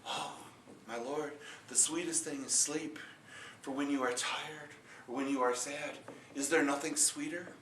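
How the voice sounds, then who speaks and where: A man voicing a timid peasant farmer who speaks softly and stammers nervously, recorded in a roomy space from a distance. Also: fairly high pitch, measured pace, a little hiss or hum. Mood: humble. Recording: roomy echo, distant mic.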